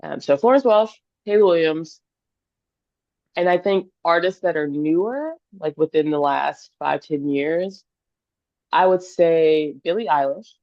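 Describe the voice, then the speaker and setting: A woman's voice: low voice, unhurried, dry recording. A woman in her twenties, a singer-songwriter guest on a podcast, talking earnestly and enthusiastically, thinking aloud. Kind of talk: casual podcast interview. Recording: dull lo-fi dry sound.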